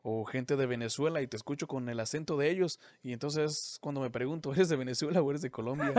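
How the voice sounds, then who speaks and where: Male podcast host, casual, curious questions, dry close sound, mid pitch. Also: moderate pace, a little mellow, no room sound. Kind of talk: casual interview.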